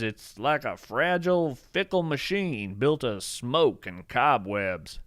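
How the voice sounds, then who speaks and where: A man's voice: mid-range voice, a bit bright, dry recording, clean studio sound. A man playing a whimsical, earnest naturalist of strange creatures, narrating a fiction podcast in a folksy, musing, theatrical way.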